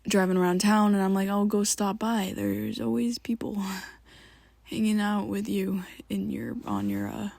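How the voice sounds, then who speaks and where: A woman in an ASMR roleplay, talking to the listener as a worried best friend, halting and rambling, often sinking into a soft whisper close to the mic. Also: mid-range voice, gravelly, dry recording. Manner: hesitant and rambling, halting restarts.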